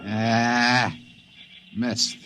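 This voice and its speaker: An actor playing an anxious, fretful stranded companion who keeps questioning, in 1950s radio drama style. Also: male voice, mid-range voice, little pitch movement, extremely slow, very noisy.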